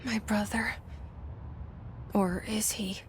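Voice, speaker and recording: Woman acting a slow, guarded inner voice. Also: medium pitch, fairly even intonation, a little hiss or hum, natural voice. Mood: anxious, wondering. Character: guarded young woman's private inner thoughts. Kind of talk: game inner monologue.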